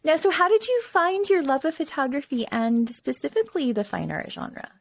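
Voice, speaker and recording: A woman's voice: high-pitched, sing-song, moderate pace, gravelly, dry recording. A woman hosting a casual interview podcast, who breaks in often with short affirmations while asking her guest questions. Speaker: podcast host.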